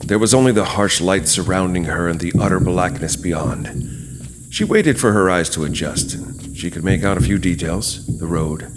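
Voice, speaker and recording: A man's voice: sits low, normal speed. A man delivering dramatic scripted narration for a horror story as its narrator. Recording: clear background noise, night sound effects.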